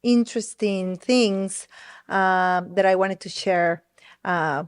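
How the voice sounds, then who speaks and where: Lady hosting a chat show, average pitch, informal, jumps in and rewords things. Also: takes it slowly, clean tone. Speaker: interview host. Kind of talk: casual chat interview.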